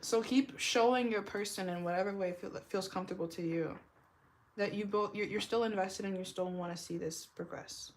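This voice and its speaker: A young woman with a deep, smoky voice that she puts down to years of smoking. She gives a loose, rambling tarot reading, filling her sentences with "like" and trailing off mid-thought. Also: moderate pace, slight background noise. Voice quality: deep smoker's voice. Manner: rambling, heavy use of "like", laughs often. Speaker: tarot reader. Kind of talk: casual tarot reading vlog.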